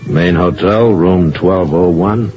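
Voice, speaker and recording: An actor playing a smooth, calculating racketeer coaxing a youngster in a 1950s radio drama. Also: male voice, sits low, takes it slowly, darkish tone, soft background music. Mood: slick, coaxing. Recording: muffled old radio recording, faint noise.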